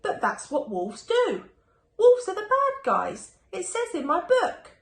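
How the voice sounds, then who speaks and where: A woman voicing a gruff, sneering, hungry male wolf in a children's storybook. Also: very high voice, wildly swooping pitch, takes it slowly, roomy sound, voice pushed up, heavily acted. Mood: puzzled, indignant.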